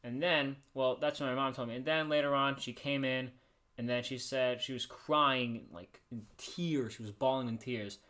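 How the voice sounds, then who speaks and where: Male streamer, average pitch, informal storytelling host who rambles and doubles back on his sentences. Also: steady tone, normal speed, bright, forceful tone. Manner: rambling, repeats and restarts phrases. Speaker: livestream host. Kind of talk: casual livestream storytelling. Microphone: streaming microphone he adjusts by hand.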